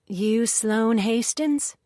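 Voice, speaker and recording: A woman voicing a blunt, folksy Southern woman with an Alabama drawl in an audiobook, speaking plainly in rough country grammar. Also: a little high, very slow, mellow, no echo, clean studio sound, pitched above the performer's own voice. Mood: guarded. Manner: folksy ungrammatical speech, plain-spoken. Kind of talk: audiobook character voice.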